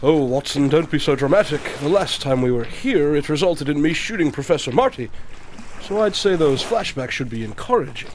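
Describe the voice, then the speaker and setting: An actor playing a shrewd, confident male detective in a radio drama, speaking in a measured, deliberate and dryly condescending way. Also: fairly high pitch, lively intonation, a little hiss or hum, close to an everyday voice.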